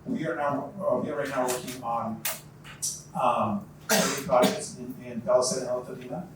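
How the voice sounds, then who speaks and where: Man from Croatia, panel founder, halting, many fillers, distant mic, very echoey, noisy. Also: medium pitch, fairly even intonation. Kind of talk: panel self-introduction. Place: event venue. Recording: echoey room, far from mic, noise.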